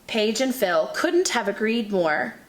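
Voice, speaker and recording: Teacher read-aloud narrator, woman, unhurried and expressive, roomy sound. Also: on the high side. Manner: slow, expressive storytelling. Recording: echoey room.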